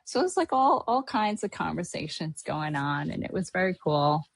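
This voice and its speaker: A woman's voice: sits low, sing-song, normal speed, gravelly, no room sound, low-quality recording. Chatty middle-aged woman from Hawaii. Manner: chatty, rambling with many fillers and restarts. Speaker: podcast host. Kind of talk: casual chat recapping a trip.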